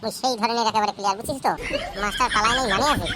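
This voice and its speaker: A woman's voice: on the high side, steady tone, rapid-fire, natural voice. A woman voicing a cheeky, boastful village school pupil in a comic cartoon, brash and talkative, with a North Bengal accent. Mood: gloating. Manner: brash, rattling recitation and backtalk. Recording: loud noise behind the voice.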